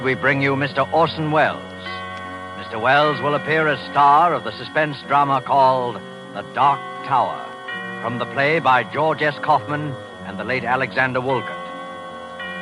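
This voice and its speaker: A man's voice: mid-range voice, rough recording. Casting: male 1940s suspense announcer, mid-range voice, scripted and stately, music playing behind. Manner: measured, dramatic, formal. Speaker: announcer and host of suspense show. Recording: 1940s radio broadcast.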